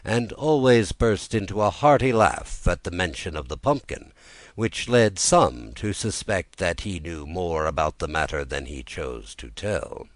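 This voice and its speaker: A man with a notably deep voice narrates a bedtime tale. His delivery is gentle, measured and soothing, in a literary storytelling manner. The sound is dry, with no room around the voice. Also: lively intonation, dry recording. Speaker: audiobook narrator.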